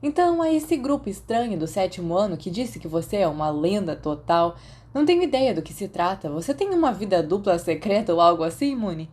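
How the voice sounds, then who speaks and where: A woman's voice: mid-range voice, very animated, fairly quick, darkish tone. A woman voicing a smug, teasing teenage boy prankster in a fan fiction audiobook.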